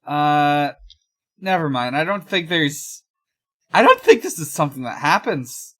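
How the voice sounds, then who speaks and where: A woman's voice: low-pitched, very animated, measured pace, fairly bright tone. A woman co-hosting a podcast, chatting casually and reading fan fiction aloud. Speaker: podcast co-host.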